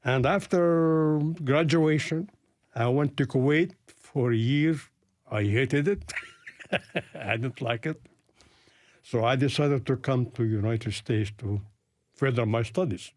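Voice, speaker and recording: Elderly male interviewee, Arabic accent, deliberate storyteller with a mid-pitched voice, recorded dry. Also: no echo. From Palestine, grew up in Lebanon. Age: 80s.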